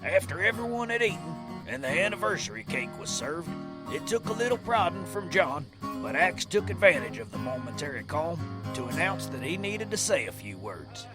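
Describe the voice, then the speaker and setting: A man's voice: fairly high pitch, big pitch swings, normal speed. A man narrating a Western tale in a folksy, dramatic storyteller manner over guitar music.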